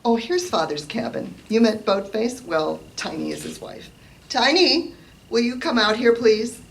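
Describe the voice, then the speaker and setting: Woman playing a creaky-voiced, composed young heroine. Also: fairly high pitch, huge pitch swings, unhurried, exaggerated voice. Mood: matter-of-fact. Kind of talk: live radio play acting. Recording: noisy live stage recording.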